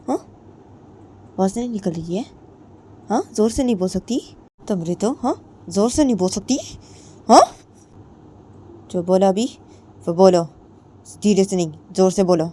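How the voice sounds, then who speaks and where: A woman voicing a domineering young woman who keeps loudly demanding answers and repeating her questions. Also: fairly high pitch, a little hiss or hum, pitched above the performer's own voice, close to an everyday voice. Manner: badgering, repeats demands, loud and insistent.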